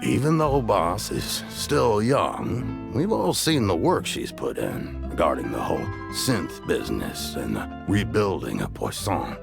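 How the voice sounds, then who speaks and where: A man's voice: low voice, big pitch swings, unhurried, vocal fry, few pauses, heavily acted. An actor playing an earnest, loyal male member of a gang in a game. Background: game soundtrack music.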